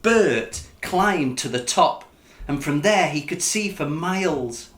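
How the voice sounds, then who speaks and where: A man narrating a children's picture book in an expressive storytelling style, as the author reading aloud. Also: on the high side, takes it slowly, roomy sound.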